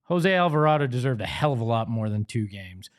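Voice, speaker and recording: A man's voice: mid-range voice, big pitch swings, a little fast. A man with an American accent hosting a sports show, speaking in an emphatic, conversational way. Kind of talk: opinionated sports talk and host-read ads.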